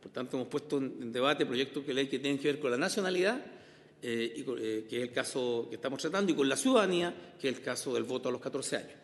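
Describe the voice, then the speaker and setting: A Chilean man speaks in a large, reverberant committee room. His voice sits at a medium pitch, and he chairs with formal, unscripted remarks, deliberate and halting as he repeats words. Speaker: senator chairing a parliamentary committee. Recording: echoey hall with long reverb.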